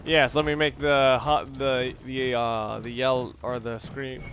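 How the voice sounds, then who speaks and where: Rambling male gamer over loud noise. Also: medium pitch, level tone, slow pace. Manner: rambling, musing, swears casually. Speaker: let's play gamer. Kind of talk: casual off-the-cuff gameplay commentary. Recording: loud constant background noise.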